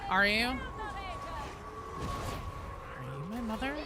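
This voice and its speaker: A woman chats casually on a game livestream, her pitch moving in a very lively way. She is teasing and sweary as she answers her viewers. There is clear noise behind her voice. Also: slow. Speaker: game streamer.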